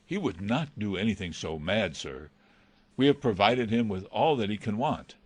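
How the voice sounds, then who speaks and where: A man's voice: on the low side, expressive, moderate pace, a little mellow, dry recording. A man playing an anxious, loyal butler, hesitant, formal and respectful, in a dramatised reading of a classic novel. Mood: anxious pleading. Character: deferential, anxious male butler, pleading and loyal.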